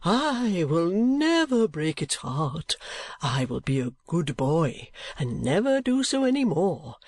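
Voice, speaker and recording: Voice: mid-range voice, very animated, slow, darkish tone, dry recording, exaggerated voice. A narrator voicing a foppish, cowardly kept husband in a storybook, pleading with simpering baby-talk and affected oaths.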